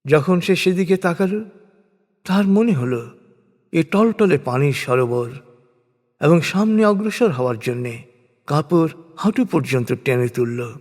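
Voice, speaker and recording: A man reading a scripted scripture translation in a measured, solemn, deliberate manner. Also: on the high side, expressive, darkish tone. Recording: long hall reverb on voice.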